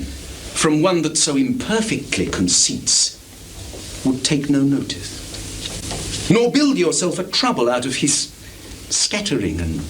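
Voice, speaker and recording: An actor playing a scheming, falsely humble soldier in a live Shakespeare reading, speaking deliberately with theatrical projection and dramatic pauses. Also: male voice, on the high side, a bit bright, heavily acted. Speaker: stage actor. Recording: noisy, hissy 1980s live theatre recording.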